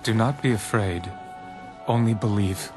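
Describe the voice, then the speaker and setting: An actor playing a calm, compassionate teacher and healer, measured and solemn in a dramatized audio Bible. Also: male voice, lowish voice, music in the background, lightly acted. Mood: gentle assurance.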